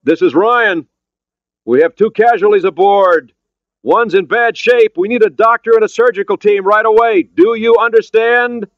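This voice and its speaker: A man's voice: sits low, fast talker, hardly any pauses, dry recording, lo-fi sound. A man playing an urgent, blunt American aboard a submarine in an audiobook, talking sharply and directly. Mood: urgent, shouting.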